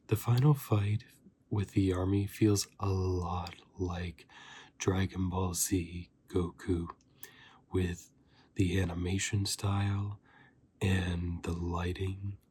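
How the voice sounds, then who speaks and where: A man giving a softly spoken ASMR review, hushed and sometimes slipping into whispers. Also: deep voice, slightly rough. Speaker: host of an ASMR review channel.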